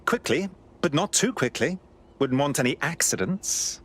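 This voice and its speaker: A dryly stern resistance ally in video game dialogue. He sounds cautioning, in a voice slightly higher than most men's. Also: lively intonation, normal speed, fairly bright tone, slightly rough.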